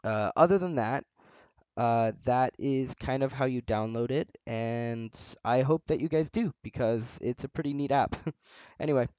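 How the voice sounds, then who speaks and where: A man with a loud voice talking through a game setup tutorial in a relaxed, rambling way, full of "uh" fillers. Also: mid-range voice, unhurried, clean tone, no echo. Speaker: tutorial host. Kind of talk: casual screen-recorded game tutorial. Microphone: desk microphone near a loud keyboard. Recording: dull, slightly lo-fi home recording.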